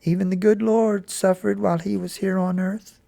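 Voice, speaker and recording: A man reading a story aloud, voicing a gentle, patient mother who speaks softly and slowly to comfort her son. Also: high voice, little pitch movement, creaky, dry recording, studio quality, voice pushed up. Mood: soothing. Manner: soft, slow and soothing.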